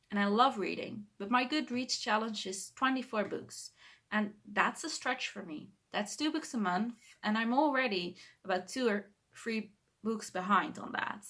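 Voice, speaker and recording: A woman's voice: mid-range voice, a bit bright. A young woman from the Netherlands with a Dutch accent, talking to camera in her bedroom in a hesitant, self-correcting way with shy laughs. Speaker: booktuber. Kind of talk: casual talk to camera.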